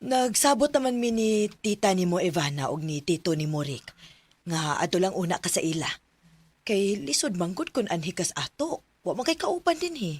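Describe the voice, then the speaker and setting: A woman's voice: lowish voice, lively intonation, moderate pace, husky, dry recording. A woman playing a practical, reassuring mother in a radio drama, with a Filipino accent. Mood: serious, reassuring.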